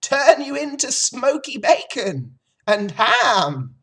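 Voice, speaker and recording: A woman's voice: on the high side, big pitch swings, slow pace, slightly rough, voice pushed up, full character voice. A woman voicing a blunt, snappish old female sheep in a storybook, grimly knowing and emphatic. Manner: blunt, emphatic, matter-of-fact. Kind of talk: storybook character voice.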